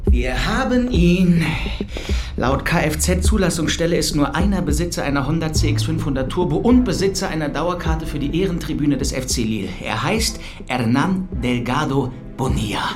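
A man playing an eager police detective in a radio drama, reporting in a brisk, factual way. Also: a little high, animated, music in the background, lightly acted. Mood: triumphant.

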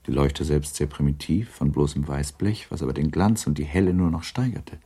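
Male narrator, extremely deep, very husky, measured, sober, literary radio drama storytelling. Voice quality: gravelly, husky, dark.

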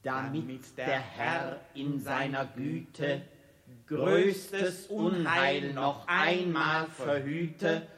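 A stern official crier in radio drama, drawn-out declamation, roomy echo with a crowd. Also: male voice, fairly high pitch. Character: pompous town crier proclaiming a magistrate's order. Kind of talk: slow ceremonial proclamation in 1960s radio play.

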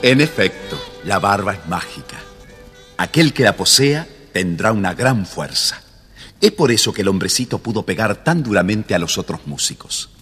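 A man's voice: fairly low pitch, very animated, normal speed, fairly bright tone, breathy edge, big-room sound, faint music behind. A man narrating a children's fairy tale, phrasing it carefully and dramatically. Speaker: storybook narrator.

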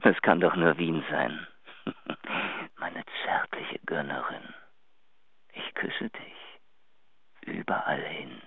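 An actor playing an elated, tender musician in love, excitable and sometimes murmuring, in a radio drama phone message. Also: male voice, extremely low pitch, takes it slowly, gravelly, pauses often, breathy edge, no room sound, in a whisper, full character voice. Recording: muffled lo-fi line, music behind.

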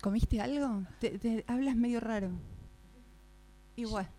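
A woman acting a doubtful, stubborn flat buyer who haggles and repeats herself, with an Argentine accent, in an improvised radio drama. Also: on the high side, measured pace, close to an everyday voice. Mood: doubtful, insistent. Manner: repeats words insistently. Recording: faint mains hum.

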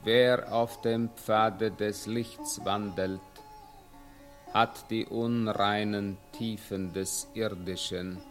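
An actor playing a serene, sanctimonious guru, speaking in a lofty, pious manner in a radio crime drama. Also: male voice, lowish voice, steady tone, drawn-out words, soft background music. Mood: lofty calm.

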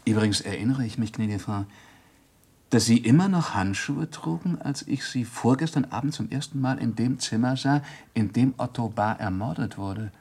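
A shrewd, methodical police inspector in a sixties radio crime play. His voice is husky and mid-pitched. He questions formally and deliberately, with steady insistence. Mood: calmly accusing. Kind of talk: 1960s German radio crime drama acting.

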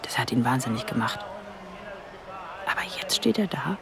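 An actor in a radio drama playing an observant engineer who narrates inner thoughts in hushed, clipped phrases. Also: male voice, medium pitch, big pitch swings, moderate pace, gravelly, heavy background noise. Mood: stirred.

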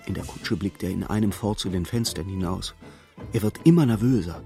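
An actor as the composed storyteller of a radio play, narrating in a measured, matter-of-fact literary style. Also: male voice, low-pitched, gravelly, dark tone, faint music behind. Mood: composed, observant. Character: composed male storyteller observing a hapless clerk's misadventures.

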